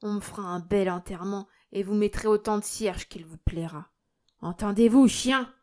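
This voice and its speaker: A miserly old fisherman from a storybook, acted out by a woman reader. He is gruff and ailing, stubborn to the bone. His grumble climbs to a shrill, defiant rage. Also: steady tone, fairly quick, a little mellow, natural voice. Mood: indignant, stubborn. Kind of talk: audiobook character acting.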